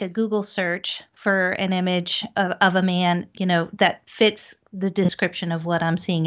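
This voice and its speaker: A woman guest, a paranormal investigator, telling personal accounts in a conversational storytelling style over lo-fi audio. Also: mid-range voice.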